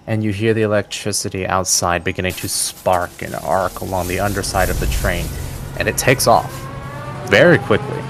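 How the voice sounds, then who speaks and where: Game master, male, deeper register, descriptive storytelling, light music bed underneath. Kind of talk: descriptive tabletop game narration. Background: background music.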